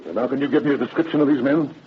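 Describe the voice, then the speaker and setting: An actor playing a businesslike, courteous detective captain with matter-of-fact, clipped questioning, in old-time radio drama style. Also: male voice, mid-range voice, rapid-fire, lightly acted. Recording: muffled, noisy old radio drama recording.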